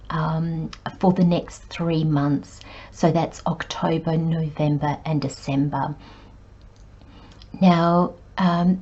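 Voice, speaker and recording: Woman tarot reader, croaky voice, lowish pitch, slow and meandering, dull lo-fi recording. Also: dark tone. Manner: unhurried, meandering with many pauses and fillers. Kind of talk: spoken tarot reading monologue.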